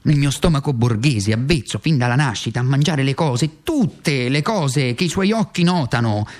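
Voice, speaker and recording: An actor playing a tormented, self-accusing worn-out communist in a theatrical radio monologue, halting and repeating phrases for emphasis. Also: male voice, medium pitch, expressive, natural voice. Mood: impassioned, pleading.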